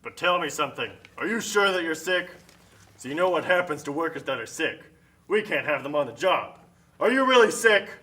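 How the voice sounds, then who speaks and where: Casting: a man as a bullying puppet factory boss, high male voice, curt threats and barked orders. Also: very animated, a little fast, sharp tone. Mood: cold, threatening. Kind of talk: amateur puppet show acting.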